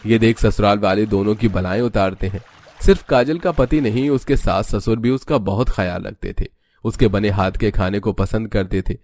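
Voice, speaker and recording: A man's voice: on the low side, moderate pace, darkish tone, no room sound. A man narrating a scripted cartoon story.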